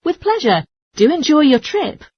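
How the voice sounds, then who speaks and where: A woman's voice: high-pitched, sing-song, moderate pace, a little mellow. A woman playing a polite, helpful transport information clerk who explains things in a scripted exercise dialogue. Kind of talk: scripted listening-test dialogue.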